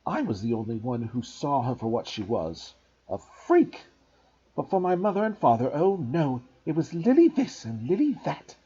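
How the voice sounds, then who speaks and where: Shrieking spiteful aunt. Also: male voice, medium pitch, sing-song, normal speed, mellow, slightly rough. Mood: bitter. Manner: shrieking, rattling on. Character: shrill bitter aunt, spiteful and resentful. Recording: dull lo-fi recording.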